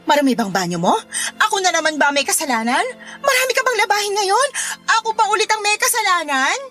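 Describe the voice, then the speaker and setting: A woman's voice: very high-pitched, very animated, fast talker, sharp tone, soft background music, heavily acted. An actress with a Filipino accent playing a sarcastic, spiteful, unrepentant neighbour woman in a radio drama. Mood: irritated, taunting. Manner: mocking, flippant, dismissive.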